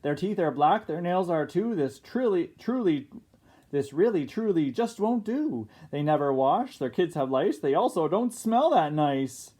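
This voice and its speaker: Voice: mid-range voice, animated, a little slow, dark tone, voice pushed up. A storyteller voicing indignant, gossiping storybook neighbours with emphatic, scandalised complaining. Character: indignant, gossiping neighbours fussing about pirates.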